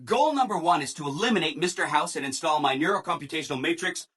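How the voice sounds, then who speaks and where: Eager-to-please game robot, chipper, gushing, bright forceful tone, swooping pitch. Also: male voice, animated, fast talker, husky. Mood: brightly matter-of-fact. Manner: chipper, exaggeratedly agreeable, gushing. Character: relentlessly cheerful, eager-to-please male robot assistant. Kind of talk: video game character dialogue.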